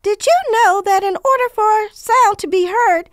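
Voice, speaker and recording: A woman voicing a cheerful cartoon girl student with a high-pitched voice, who eagerly explains facts. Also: a little slow, no room sound, clean studio sound. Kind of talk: educational cartoon voice acting.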